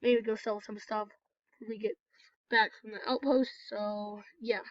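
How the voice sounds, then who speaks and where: A woman's voice: medium pitch, flat delivery, a little slow, no echo. A woman with a cold, nasal and congested, rambling casually as she comments on her own gameplay for a video. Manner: chatty, rambling, apologetic. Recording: dull, lo-fi, dry recording.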